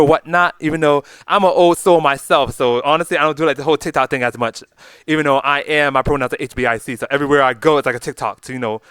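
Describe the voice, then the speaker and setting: Male vlogger, very quick pace, smooth clear voice. Gushing, wordy, off-the-cuff chat. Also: fairly high pitch, fairly bright tone, no echo. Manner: effusive, gushing, wordy, repeats phrases. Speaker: vlogger, channel host. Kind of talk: unscripted, rambling casual chat about a fan convention.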